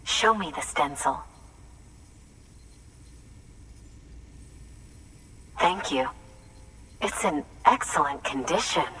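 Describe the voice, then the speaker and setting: A secretive, eccentric researcher in a video game cutscene. Her voice is very low for a woman and slightly distant, with faint ambient noise. She savours her words with theatrical grandeur. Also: a bit bright. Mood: pleased, commanding.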